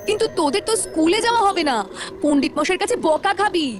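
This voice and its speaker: An anxious cartoon village boy, played by a woman, high and fast-talking. The delivery is earnest and cautious, with lively swings over faint music. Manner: earnest, explanatory. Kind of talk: Bengali village cartoon dialogue.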